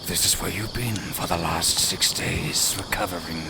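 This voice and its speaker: A man's voice: sits low, fairly even intonation, normal speed, noisy background. A man voicing a haughty, sardonic lone werewolf in an audio roleplay, speaking to the listener in formal, condescending, theatrical phrases. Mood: guarded, smug. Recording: night forest ambience with crickets, clearly audible. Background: outdoor forest sounds, crickets.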